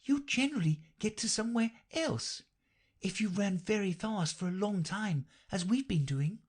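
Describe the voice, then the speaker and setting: A man's voice: sits high, lively intonation, normal speed, slightly rough, voice pushed up. A man voicing a polite, puzzled little girl who speaks hesitantly and a bit out of breath.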